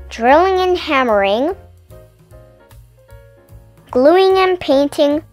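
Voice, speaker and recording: Slow, lively-voiced woman reading aloud. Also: high voice. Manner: gentle, clearly enunciated storytelling with playful emphasis. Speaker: storybook reader and channel host. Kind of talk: children's picture-book read-aloud.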